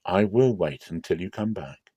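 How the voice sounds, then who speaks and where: A man voicing a solemn, commanding God in a measured, authoritative dramatic scripture reading. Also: low-pitched, lively intonation, warm tone, no room sound. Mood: calm authority. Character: God and his angel, solemn commanding divine male.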